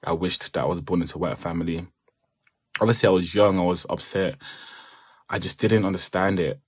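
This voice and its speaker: Male interviewee, native French speaker, deep voice, close dry sound, reflective testimony. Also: steady tone, brisk, slightly rough, dry recording.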